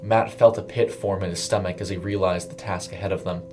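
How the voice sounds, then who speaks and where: A man's voice: sits low, steady tone, a little fast, a little mellow, slight background noise. A man reading scripted narration for a short audio story. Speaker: narrator and voice performer.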